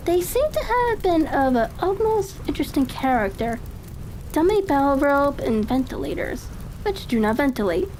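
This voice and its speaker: Woman reading as a high-pitched, inquisitive Victorian detective man. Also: very animated, moderate pace, darkish tone, a little hiss or hum. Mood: dry, probing. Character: brisk, keenly observant male Victorian detective.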